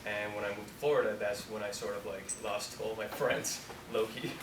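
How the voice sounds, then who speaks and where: A man in his twenties from Colombia, a company founder talking casually to students in a relaxed, rambling way, full of "like". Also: on the low side, moderate pace. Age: 20s. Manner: relaxed, rambling, heavy use of "like". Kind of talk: casual talk to an audience. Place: university room. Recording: echoey room, distant mic, noisy.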